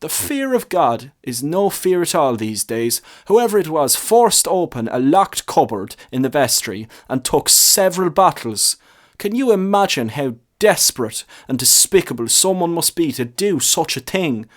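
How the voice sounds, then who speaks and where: A man voicing a world-weary, stern parish priest in a story, with a measured, solemn, admonishing manner. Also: fairly high pitch, big pitch swings, pitched above the performer's own voice. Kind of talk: short story character reading.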